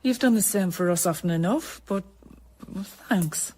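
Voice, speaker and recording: Inquisitive woman journalist, lower-pitched. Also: measured pace, a little mellow, slightly rough. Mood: friendly. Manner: probing, conversational questioning. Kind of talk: naturalistic radio drama acting.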